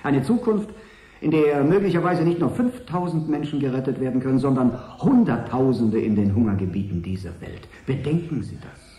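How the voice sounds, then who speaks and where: Male actor as smooth-talking business agent, calculating, wry, lively intonation, mellow tone, roomy radio play sound. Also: mid-range voice, moderate pace, close to an everyday voice. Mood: insistent, persuasive. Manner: suave, wry, articulate, persuasive. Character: smooth, calculating consortium agent, polite but ruthless. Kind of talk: 1980s German radio drama acting.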